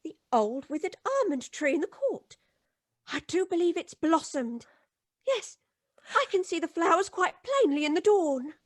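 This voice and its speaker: A high-pitched, kindly housekeeper of some years. She reassures in a measured, theatrical way, in the manner of an old radio play. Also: sing-song. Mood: reassuring, wondering. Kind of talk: old-time radio drama acting. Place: home recording booth on a video call.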